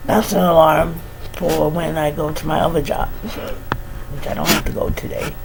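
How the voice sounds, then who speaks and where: A middle-aged woman with a head cold, musing slowly and casually, sighing and laughing softly between many "you know"s. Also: low-pitched, level tone, gravelly, very noisy. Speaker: vlogger. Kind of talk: casual reflective monologue.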